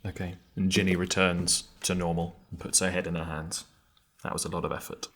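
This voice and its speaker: A man's voice: deep voice, level tone, a little fast, a bit bright. A man improvising roleplay and table talk as a player in a tabletop game.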